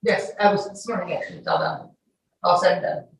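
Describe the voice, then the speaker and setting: A woman's voice: lowish voice, steady tone, quick pace. A woman giving informal, wordy, insistent answers as a witness under questioning in court. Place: echoey courtroom, far from the mic. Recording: echoey, distant courtroom audio with faint noise.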